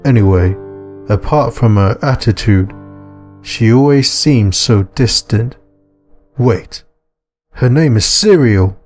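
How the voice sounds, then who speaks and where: A man playing a big, earnest, suspicious man in a visual novel, speaking in a deliberate, questioning way. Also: mid-range voice, animated, darkish tone, faint music behind. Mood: pondering.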